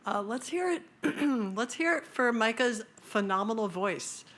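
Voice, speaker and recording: A woman's voice: lively intonation, a little slow, a bit bright, slight background noise. A woman speaking casually and with humor as a speaker at a scientific conference, with many fillers. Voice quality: high-pitched voice.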